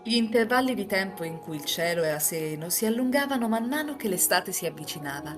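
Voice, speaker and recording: A woman reading as a composed, enigmatic housemaid who narrates a visual novel tale in formal, measured sentences. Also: medium pitch, animated, over music, close to an everyday voice.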